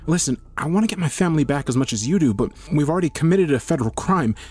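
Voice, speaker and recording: A man's voice: fairly low pitch, moderate pace, soft background music, natural voice. A man playing an anxious, evasive husband in an audio story, stammering and hesitant as he pleads. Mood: pleading. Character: nervous husband lying to police, anxious and evasive.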